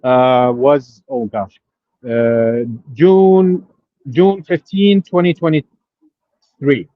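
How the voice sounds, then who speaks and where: A man from Syria calling in to a show, telling his case in a halting way, with many pauses and fillers. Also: mid-range voice, steady tone, no echo, narrow, tinny audio. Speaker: caller. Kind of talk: phone-in question.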